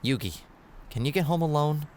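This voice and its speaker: A man playing a hot-headed, brash and excitable teenage boy brawler in an anime-style audio drama. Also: fairly high pitch, normal speed, natural voice. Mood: low, earnest.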